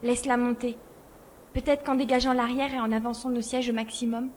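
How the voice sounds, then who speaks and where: Woman playing high-voiced, spirited young girl. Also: little pitch movement, brisk, close to an everyday voice. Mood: warm, determined. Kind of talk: dramatised audiobook dialogue. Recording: faint background noise.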